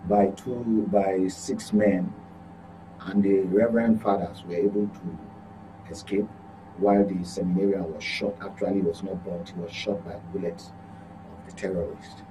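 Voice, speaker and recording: A man's voice: deep voice, steady tone, moderate pace, background noise. A Nigerian man speaking as a guest commentator in a political discussion, emphatic and repeating phrases for stress.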